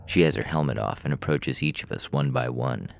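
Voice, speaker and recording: A man narrating scripted fiction in a measured, dramatic storytelling manner. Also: very deep voice, creaky. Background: music.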